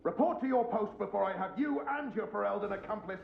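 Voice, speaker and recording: Stern, threatening guard captain for a fantasy game. Gravelly, commanding, roomy sound. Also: male voice, high-pitched, fast talker, heavy background noise. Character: stern, domineering guard captain pulling rank. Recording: echoey dull audio with game music.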